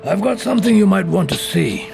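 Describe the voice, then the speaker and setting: An actor playing a tenacious older police investigator in an audio drama, dry, blunt and probing, with a very gravelly voice. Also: male voice, high voice, lively intonation, a little fast, full character voice. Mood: wry. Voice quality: gravelly, creaky. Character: tenacious, gruff old male police investigator. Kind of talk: scripted audio drama acting. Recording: voice over prominent music bed. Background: dramatic music.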